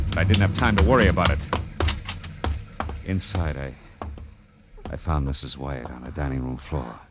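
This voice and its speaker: An American man acting a tough, wry, world-weary private detective in a 1940s radio noir, clipped and deadpan. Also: low-pitched. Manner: clipped, hard-boiled, deadpan. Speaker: lead actor and narrator of a detective serial. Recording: old radio drama with background music.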